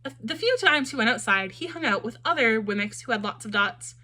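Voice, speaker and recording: Animated woman reading a children's story. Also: fairly high pitch, a little fast, slight background noise. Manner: expressive, animated.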